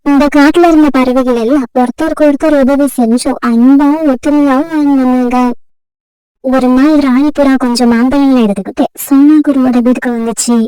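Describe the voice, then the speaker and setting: A woman reads a scripted story for children. Her voice is high yet mellow, and the sound is dry and free of room echo. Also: steady tone, brisk, clear, resonant tone, recorded from a distance.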